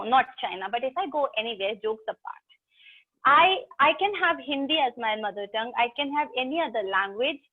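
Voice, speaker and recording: A woman from South India with an Indian English accent, teaching an online English class in a chatty, teasing way and talking straight to her students. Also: sits high. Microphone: video call. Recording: tinny video-call audio.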